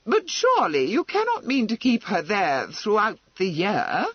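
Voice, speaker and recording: A man's voice: high-pitched, wildly swooping pitch, a little slow, husky, no echo, highs cut off, pitched above the performer's own voice, full character voice. A man voicing an insistent, managing middle-aged society mother in a storybook reading.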